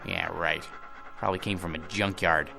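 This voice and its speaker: An actor playing a meek, self-deprecating, lonely office worker in a radio drama, speaking in a hesitant, halting way. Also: male voice, sits low, steady tone, gravelly. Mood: wry, gentle. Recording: music loud behind the voice.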